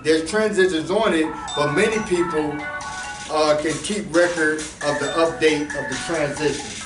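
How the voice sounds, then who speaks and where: A man's voice: on the high side, moderate pace, over music. A man giving a casual, slang-filled talk to a small live audience, often restarting his sentences and speaking to the crowd in asides. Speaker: presenter explaining cryptocurrency to a small audience. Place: room with a small seated audience. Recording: echoey room voice from a distance, faint background hum.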